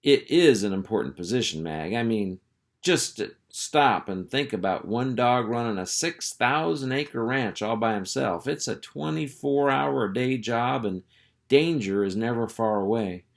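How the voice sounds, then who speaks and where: A man's voice: medium pitch, expressive, unhurried, vocal fry. A man voicing a boastful, oblivious ranch dog in a storybook, with a folksy, self-important, blustering manner.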